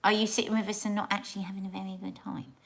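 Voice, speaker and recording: A woman's voice: medium pitch, little pitch movement, racing, creaky. A middle-aged woman hosting a casual livestream, chatting with viewers, often trailing off and restarting her sentences. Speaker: livestream host.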